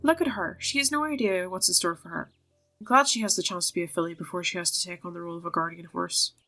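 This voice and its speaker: A woman's voice: lowish voice, fast, pushed and bright, soft background music. A woman voicing earnest young female riders from a fantasy horse game, expressively reading their dialogue. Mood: troubled, earnest.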